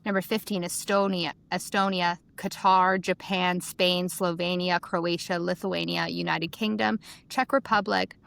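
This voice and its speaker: A woman's voice: fairly low pitch, little pitch movement, unhurried, creaky, few pauses, fairly bright tone. A woman co-hosting a casual podcast, chatty and reading out lists of figures. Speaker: podcast co-host.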